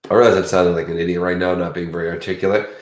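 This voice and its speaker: Deep-voiced Boston man, roomy echo. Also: level tone, racing. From Boston area. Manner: meandering, chatty, restarts often. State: sleepy. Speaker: co-host of a true crime livestream. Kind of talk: casual rambling chat.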